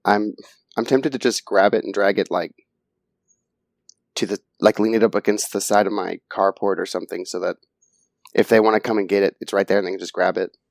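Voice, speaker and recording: A man's voice: fairly low pitch, fairly even intonation, brisk, vocal fry, no echo, clean studio sound. Laid-back man telling a story. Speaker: podcast co-host. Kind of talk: casual chat.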